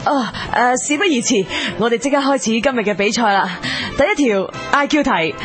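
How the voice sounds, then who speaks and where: A woman plays a bubbly TV quiz host in a radio comedy. She gushes in an exaggerated presenter style, in Hong Kong Cantonese, with music clearly behind her. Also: a little high, lively intonation, brisk, natural voice. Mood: gushing, excited. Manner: exaggerated presenter delivery, gushing. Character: bubbly, gushing female TV quiz show host. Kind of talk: comedy radio drama acting, quiz show parody.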